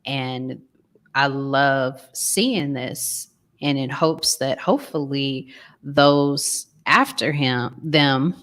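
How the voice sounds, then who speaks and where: A woman's voice: rumbling low, very slow, fairly bright tone. A woman speaking as a panelist in casual podcast chat, affirming and repeating phrases for emphasis.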